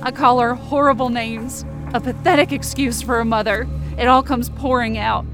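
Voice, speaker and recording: A woman's voice: a little high, steady tone, normal speed, music in the background, lightly acted. A woman playing a wounded, angry, defiant young woman, narrating in the first person with intense feeling in an audio drama. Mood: hurt, resolute. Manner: intense, emotive.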